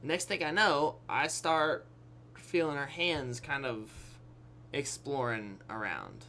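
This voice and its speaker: A young adult man with a bright, punchy voice tells a candid story in a casual online video style. He acts out bits of dialogue, leaves dramatic pauses and keeps checking in with his listeners. His pitch sits in an ordinary male middle range. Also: expressive, a little hiss or hum. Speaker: YouTube storyteller.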